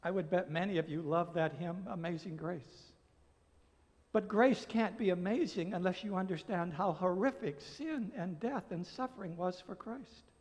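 Man in his sixties, American accent, high-set voice, pastor's sermon delivery, earnest, deliberate phrasing, long church reverb. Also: a little mellow. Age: 60s. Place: church sanctuary, at a pulpit. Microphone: gooseneck pulpit microphone.

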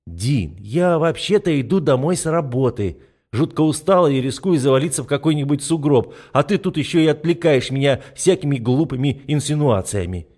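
A man's voice: on the high side, expressive, moderate pace, a little mellow, voice pushed up. A man voicing a tired, irritable young woman schoolteacher who grumbles in an audiobook. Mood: irritated. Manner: grumbling, snappy.

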